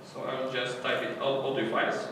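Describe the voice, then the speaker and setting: A man's voice: medium pitch, level tone, brisk. Polish-accented man in an echoey hall. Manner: hesitant, many fillers and restarts. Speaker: workshop presenter. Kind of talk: live coding workshop talk. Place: conference hall. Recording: echoey hall, distant mic, faint noise.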